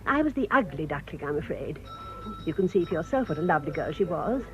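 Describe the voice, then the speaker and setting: An actress playing a genteel, self-important older spinster with an English accent, speaking precisely and fussily in a radio drama. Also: female voice, sits low, sing-song, fast talker. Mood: wistful. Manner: precise, formal, fussy. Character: prim, genteel, self-important spinster tea-shop owner. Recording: dull, band-limited radio drama recording.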